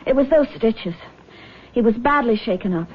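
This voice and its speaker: An actress playing a concerned, brisk grown daughter, speaking earnestly and directly in 1970s radio drama style. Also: female voice, on the high side, lively intonation, slightly rough. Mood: anxious. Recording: noisy, dull vintage radio broadcast.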